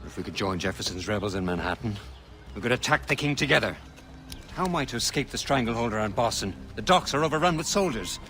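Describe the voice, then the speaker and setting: An actor playing an angry, distrustful colonial rebel leader in a video game, blunt and commanding. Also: male voice, medium pitch, animated, fast talker, a bit bright, soft background music, natural voice. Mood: determined. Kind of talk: dramatic video game acting.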